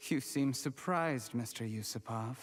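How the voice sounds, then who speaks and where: An actor playing an ambitious, outwardly servile but resentful man in a video game, speaking in a measured, polite way. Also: mid-range voice, big-room sound, soft background music, lightly acted. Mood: bitter, defiant.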